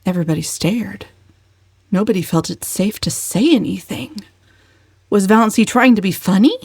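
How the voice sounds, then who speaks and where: A woman narrates a novel as a dramatic reading. Her voice sits at a middle pitch, and the recording is very dry and close, with almost no room sound. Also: moderate pace, creaky, a little mellow, slightly rough, no room sound. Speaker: audiobook narrator.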